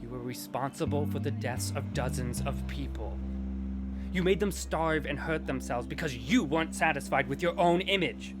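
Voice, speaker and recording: A man's voice: medium pitch, moderate pace, over loud music, lightly acted. An actor playing a weary, defensive male reaper in an audio drama, with an intense, pointed manner. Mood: angry, defensive.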